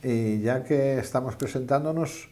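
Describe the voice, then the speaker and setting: A man's voice: medium pitch, moderate pace, warm tone. An older retired man from Asturias, a guest in an interview, who talks in a modest, hesitant way and makes light of himself. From Asturias, Spain. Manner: modest, hesitant, self-deprecating. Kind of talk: conversational interview.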